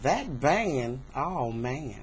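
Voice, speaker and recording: A woman's voice: extremely low pitch, very animated, extremely slow, full character voice. A woman playing a nosy, doting elderly church lady on a prank call, folksy and preachy with sugary endearments. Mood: chatty, nosy. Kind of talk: improvised prank phone call. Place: indoor room at home. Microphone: webcam microphone. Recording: noisy, humming webcam recording.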